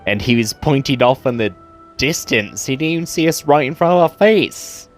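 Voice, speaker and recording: A woman's voice: a bass voice, animated, normal speed, soft background music. A woman reacting casually and offhandedly while playing through a video game story. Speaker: gamer reacting to story cutscenes.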